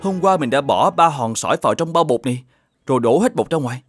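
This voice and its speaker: An actor playing a good-natured, simple peasant farmer in an animated fairy tale, earnest and polite. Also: male voice, medium pitch, fast, natural voice. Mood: amazed. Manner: earnest, polite, slightly naive. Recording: music behind the voice.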